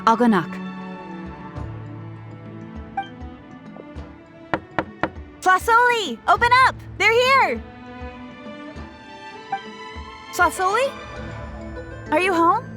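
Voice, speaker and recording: A woman's voice: sits high, heavily acted. A woman playing a friendly, impulsive and slightly hapless young woman in a video game. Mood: eager, calling out.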